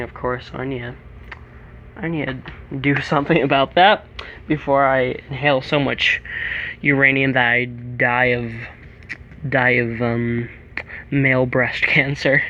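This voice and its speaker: A teenage boy rambling through an early-morning vlog in a monotone, halting voice with many fillers, into a microphone plugged into a tablet. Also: mid-range voice, highs cut off. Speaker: vlogger. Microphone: plug-in microphone on a tablet.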